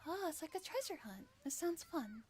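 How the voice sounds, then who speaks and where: A woman voicing excitable, curious young schoolchildren with an eager manner, in a visual novel reading. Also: very high-pitched, moderate pace, pitched above the performer's own voice. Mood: excited.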